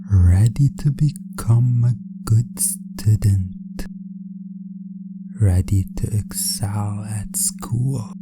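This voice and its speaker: A man speaking softly in a breathy, often whispered ASMR roleplay as a calm, controlling hypnotist teacher, deliberate and repetitive. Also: fairly low pitch. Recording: music bed under the voice. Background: music.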